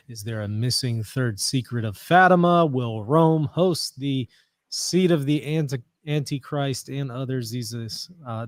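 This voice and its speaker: A man from Louisiana hosting a casual talk show, easygoing and quick to laugh. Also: mid-range voice, no echo. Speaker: podcast host. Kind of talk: casual chat.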